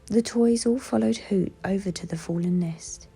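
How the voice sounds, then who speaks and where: A woman reading a bedtime storybook aloud to children in a soft, breathy voice, with music behind. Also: medium pitch, normal speed. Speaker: children's book reader.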